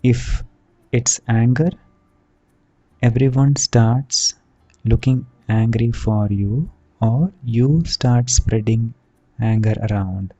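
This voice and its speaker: A man leads a guided meditation as a teacher, speaking soothingly and deliberately in short phrases with long pauses. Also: medium pitch, dark tone, muffled recording. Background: background music.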